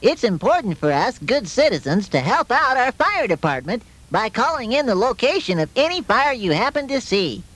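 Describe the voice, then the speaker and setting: Man playing a cheerful, very animated puppet citizen. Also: extremely high pitch, normal speed, few pauses, heavily acted. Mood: cheerful, eager. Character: cheerful male puppet, an earnest good citizen. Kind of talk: children's fire safety puppet show.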